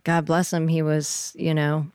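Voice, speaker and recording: A woman's voice: low-pitched, flat delivery, a little slow, darkish tone, smooth, no room sound, studio quality. A woman singer being interviewed, chatting casually in an earnest, thoughtful way. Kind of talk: casual chat.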